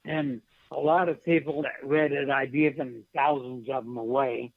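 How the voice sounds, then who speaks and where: An American man in his seventies speaking as a radio guest in a halting, plainspoken way, on a dull, muffled line. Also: mid-range voice, fairly even intonation, no echo. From United States. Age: 70s. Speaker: guest, poet and veteran. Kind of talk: interview reminiscence.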